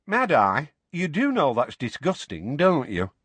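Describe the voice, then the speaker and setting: A man's voice: on the high side, huge pitch swings, normal speed, a bit bright, breathy edge, no echo, voice pushed up, full character voice. Man putting on a breezy, blunt young witch. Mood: teasing. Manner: chatty, conversational.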